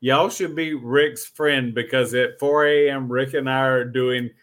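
A man's voice: medium pitch, steady tone, measured pace, fairly bright tone. A man hosting a podcast as a casual chat, effusive and joking, often repeating his phrases. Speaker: podcast host.

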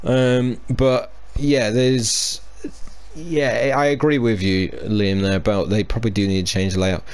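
A man's voice: mid-range voice, fairly even intonation, a little slow, a little mellow, smooth. A man hosting a casual sports podcast, chatty and hesitant with lots of fillers and restarts. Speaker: podcast host. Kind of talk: casual chat.

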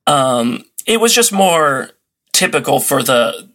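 A man's voice: mid-range voice, very animated, slow, a bit bright. A man talking casually as a podcast guest. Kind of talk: casual chat.

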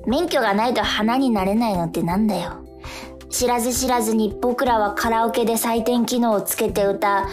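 A woman acting a dreamy, unsure young boy in a radio drama, musing and repeating phrases haltingly. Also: on the high side, lightly acted. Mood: reflective. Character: dreamy young boy Hana, unsure and wondering about life. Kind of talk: theatrical radio drama monologue. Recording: music under the voice.

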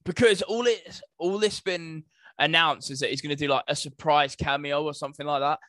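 A man with a slightly high-pitched voice talks in a casual, conversational way as a podcast co-host. The sound is very dry, with no room around him. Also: steady tone, brisk, fairly bright tone, dry recording. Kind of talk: casual chat.

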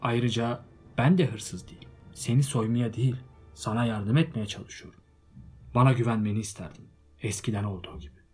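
A man voicing an old male wizard who speaks in a firm, measured, admonishing way. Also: mid-range voice, a little mellow, slightly rough, soft background music, lightly acted. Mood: earnest.

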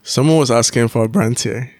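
A man co-hosting a podcast, steering the talk and explaining calmly. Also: medium pitch, brisk, no room sound. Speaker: podcast co-host. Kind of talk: casual chat.

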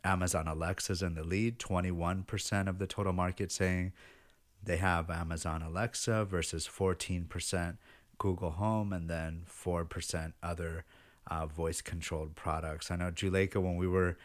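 Very deep-voiced man hosting a podcast. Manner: relaxed, friendly, many fillers. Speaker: podcast host. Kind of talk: casual interview podcast.

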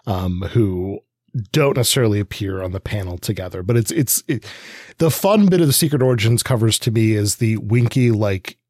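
A man with a lowish, husky voice co-hosts a podcast. He talks casually and chattily, wandering off into asides, in a dry recording without room sound. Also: expressive, normal speed, a little mellow, no echo. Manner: chatty, digressive. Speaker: podcast co-host. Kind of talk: casual rambling discussion.

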